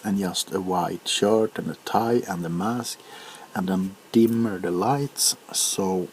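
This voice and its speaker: A man with a low voice chats in a loose ASMR style. He talks very slowly and hesitantly, pausing as he goes. A faint hiss sits behind the voice. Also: a little mellow. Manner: halting, unhurried, hesitant. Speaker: ASMR video creator. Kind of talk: ASMR rambling chat.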